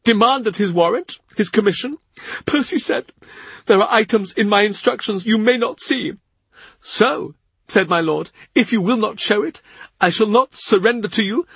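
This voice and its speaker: A man's voice: high-pitched, moderate pace, fairly bright tone, slightly rough, no room sound, pitched above the performer's own voice, exaggerated voice. A man voicing a grieving male servant who cries as he tells his story, in an audiobook. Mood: tearful. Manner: tearful, breathless storytelling. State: crying. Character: grieving male servant, weeping, tearful gentleman. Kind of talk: audiobook character reading.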